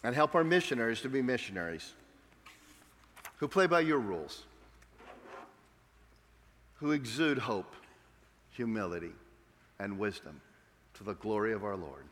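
A man's voice: lowish voice, expressive, unhurried, pauses often, a bit bright. A man preaching a sermon as pastor, emphatic and rhetorical, then closing in prayer. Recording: long reverb of a large hall.

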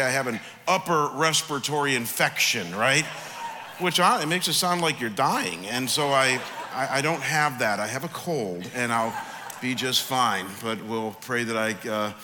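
A man with a cold and a congested, lowered voice, preaching a sermon as pastor, conversational at first and building to emphatic questions. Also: sharp tone, a little hiss or hum. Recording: long reverb of a large hall.

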